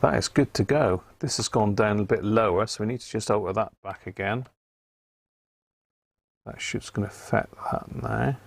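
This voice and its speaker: British male repair streamer, fairly low register with noticeable fry, informal muttered bench commentary. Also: dry recording. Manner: unhurried, muttering to himself while working. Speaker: host of a live radio repair stream. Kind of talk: casual live workbench commentary. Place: indoor repair workbench.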